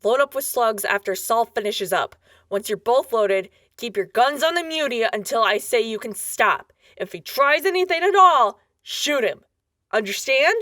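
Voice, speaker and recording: A woman's voice: on the high side, expressive, normal speed, a bit bright, no room sound, clean studio sound. A woman playing a tough, exasperated, sarcastic wasteland sheriff in a post-apocalyptic radio drama. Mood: stern, sarcastic. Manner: gruff, bossy, sarcastic.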